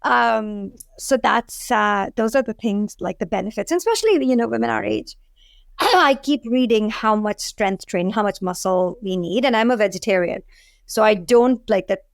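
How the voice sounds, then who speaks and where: A woman in her forties with an Indian accent speaks in casual interview style. Her voice sits at a medium pitch, and the recording is dry, with very little room sound. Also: expressive, normal speed, creaky, no room sound. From Delhi, India. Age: 40s. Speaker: podcast guest, food entrepreneur.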